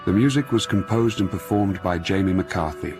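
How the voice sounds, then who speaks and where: A man reading a scripted radio announcement in a formal, measured manner. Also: sits low. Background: music with violin.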